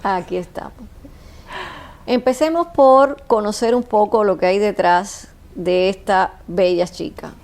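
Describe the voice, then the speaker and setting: A woman hosts an interview with a gravelly, fry-tinged voice and a formal, presenting delivery. She introduces her guest and frames the conversation. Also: medium pitch, measured pace, darkish tone. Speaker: interview host.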